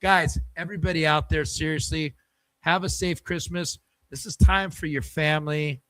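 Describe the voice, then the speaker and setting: A man's voice: a little high, steady tone, normal speed, a bit bright, no echo, rough recording. Rambling sports podcast host, man. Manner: loose, rambling and friendly. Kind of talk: casual sports show chat.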